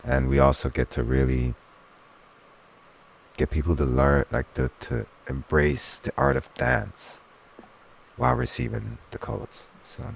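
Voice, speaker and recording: A man's voice: rumbling low, animated, a little slow, creaky, long pauses. A man from Switzerland whose first language is Swiss German, talking earnestly as an interview guest and using spiritual turns of phrase. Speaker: guest, soul singer and DJ. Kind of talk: casual conversational interview.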